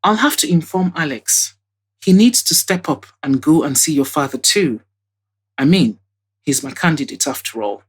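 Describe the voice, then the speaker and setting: Outspoken young woman, played by a female narrator, husky, low-leaning, blunt, emphatic, audio drama friend. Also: lively intonation, normal speed. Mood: insistent. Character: outspoken young woman lawyer, opinionated, bossy best friend.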